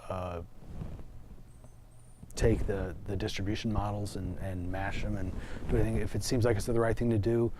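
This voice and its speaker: A man with a fairly low voice talks like a company executive in an interview. He explains things with some hesitation and repeated words. Faint noise sits behind his voice. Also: flat delivery, normal speed, pauses often.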